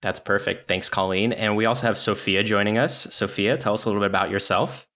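A man hosting a university podcast, introducing guests and explaining things in a friendly, steady way. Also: on the low side, fast. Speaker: podcast host. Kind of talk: hosted university podcast talk.

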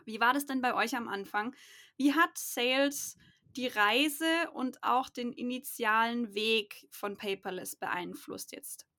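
A woman hosts a business podcast and asks her guests questions in a relaxed, informal way. Her voice is slightly high for a woman. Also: slow. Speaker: podcast host. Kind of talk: casual podcast interviewing.

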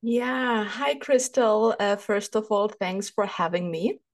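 A woman's voice: medium pitch, steady tone, slow. A woman from Germany with a German accent, a holistic therapist and coach talking in a podcast interview, thoughtful and hesitant with many fillers.

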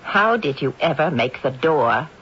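A woman's voice: deep voice, lively intonation, unhurried, slightly rough, full character voice. An actress playing a polite, anxious lady homeowner, earnest and apologetic, in an old-time radio drama. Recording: dull, faintly noisy radio drama recording.

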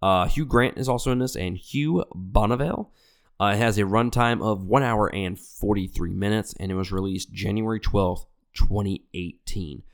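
A man's voice: fairly low pitch, moderate pace. A man hosting a movie review podcast in a loose, rambling way, sounding nasal and congested from an illness. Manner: rambling, self-correcting, frequent restarts; loose, profane, conversational. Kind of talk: casual chat.

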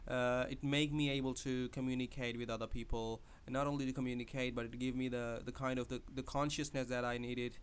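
A man's voice: mid-range voice, flat delivery, a little fast, hardly any pauses, clean tone. A man who speaks English as a second language, hosting a podcast and giving advice in a hesitant way, with many fillers and restarts. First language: not English. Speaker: podcast host. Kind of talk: casual spoken advice monologue.

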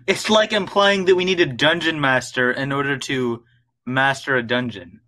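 A man's voice: mid-range voice, fairly even intonation, a little slow, sharp tone. A man joking and cursing his way through a casual online group chat, cutting in on others as he talks about playing a character. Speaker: voice actor in a group project.

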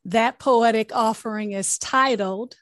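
A woman podcast host addressing her listeners with expressive, deliberate enunciation as she makes sign-off announcements. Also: fairly high pitch, dry recording.

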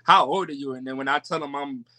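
A man in his twenties, a podcast guest, chatting in relaxed slang with frequent restarts. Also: medium pitch, fast talker. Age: 20s. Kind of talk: casual chat.

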